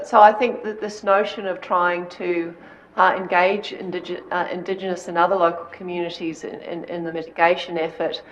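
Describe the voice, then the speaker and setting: A woman speaking on a conference panel, halting with many fillers. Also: lowish voice, highs cut off. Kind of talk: panel discussion answer.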